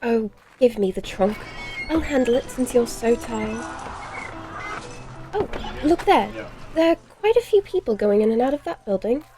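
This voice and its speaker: A woman's voice: on the high side, animated, moderate pace, over music, close to an everyday voice. A woman playing a bloodthirsty, grumbling female vampire in an audio horror-comedy, speaking dryly and theatrically in old-fashioned phrases. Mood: relieved, brisk.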